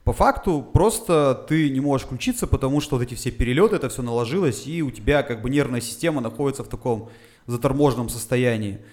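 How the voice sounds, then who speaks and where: A man's voice: mid-range voice, fast talker, a little mellow. A man, a fitness coach, talking casually on a podcast, explaining things step by step with frequent "uh" fillers. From Urals, Russia. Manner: methodical explainer with filler sounds.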